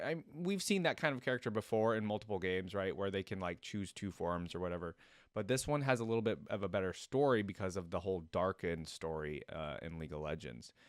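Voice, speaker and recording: A man's voice: fairly low pitch, no echo, clean studio sound. A man hosting a reaction video, talking in a casual, enthusiastic and rambling way.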